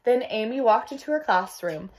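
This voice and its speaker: Animated young woman reading to children, higher voice. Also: normal speed. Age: young adult. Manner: expressive, emphatic, chatty asides. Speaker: read-aloud storyteller. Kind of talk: animated picture-book read-aloud for children. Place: small indoor room at home.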